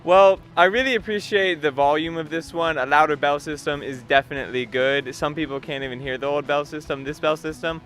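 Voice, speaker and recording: Very bright, emphatic young male student. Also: fairly high pitch, fairly even intonation, brisk, few pauses, faint music behind. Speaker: student interviewee. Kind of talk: vox pop interview answer.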